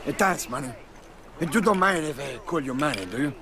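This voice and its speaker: An actor playing a vengeful, foul-mouthed Cuban drug lord with a Cuban accent in a video game. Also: male voice, on the high side, expressive, fairly quick, background noise, natural voice. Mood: swaggering. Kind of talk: video game cutscene acting. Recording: music behind the voice.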